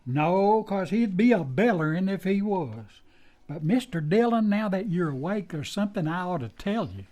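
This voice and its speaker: A man's voice: high-pitched, animated, moderate pace, a little mellow. A man playing an anxious, loyal deputy in an old-time western radio drama, with a folksy American drawl and a stammering, deferential manner. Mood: deferential, chatty.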